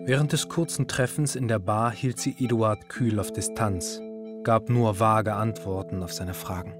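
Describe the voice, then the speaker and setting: An actor playing a composed narrator, reading literary radio drama prose in a measured, formal way. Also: male voice, lowish voice, steady tone, music in the background, close to an everyday voice. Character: composed literary narrator observing a woman's affair.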